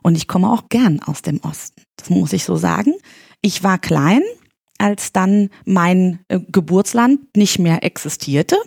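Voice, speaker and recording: A woman in her forties from Berlin speaks as a guest on an interview podcast, her voice husky and gravelly with a dark, mellow tone. She talks in a measured way, pausing and searching for words. The sound is dry and close. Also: lowish voice, dry recording. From East Berlin, Germany. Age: 40s. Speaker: candidate for pharmacists' chamber, guest.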